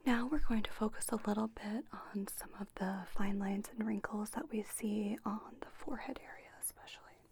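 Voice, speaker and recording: A woman softly whispering an ASMR roleplay as a spa owner, giving gentle, patient step-by-step instructions. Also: mid-range voice, fairly even intonation, fairly quick, creaky, few pauses.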